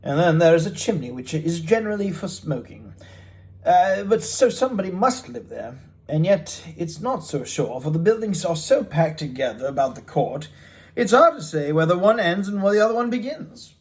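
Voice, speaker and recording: A man voicing a talkative, candid gentleman who tells an odd tale with emphatic, exclamatory delivery in a storybook reading. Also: high-pitched, very animated, moderate pace. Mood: puzzled, earnest.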